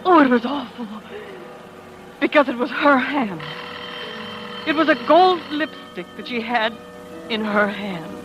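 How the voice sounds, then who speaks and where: High-voiced, shaken wife in radio suspense. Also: female voice, expressive, unhurried, pauses often, highs cut off, background noise. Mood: panicked, horrified. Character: shaken young wife recounting her discovery of a murder. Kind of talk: 1940s radio acting, first-person suspense narration. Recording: old radio recording with music underneath.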